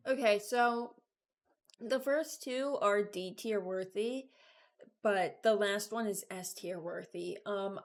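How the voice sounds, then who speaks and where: A young adult woman giving informal, candid commentary as she ranks things, rambling as she thinks aloud. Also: medium pitch. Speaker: video creator. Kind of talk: casual ranking commentary.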